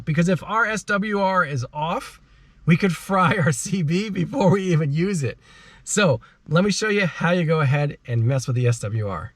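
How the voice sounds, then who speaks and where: Chatty, higher-voiced man in a dry recording. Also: dry recording. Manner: informal, slangy, chatty. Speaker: host of a car DIY channel. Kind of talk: casual how-to vlog talk.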